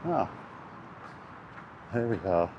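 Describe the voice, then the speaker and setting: A man's voice: medium pitch, very animated, drawn-out words, muffled recording. A British man in a casual, sweary rant, speaking bluntly and scornfully as a gaming vlogger out on a walk. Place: outdoors, walking along near traffic. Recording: outdoor street and vehicle noise.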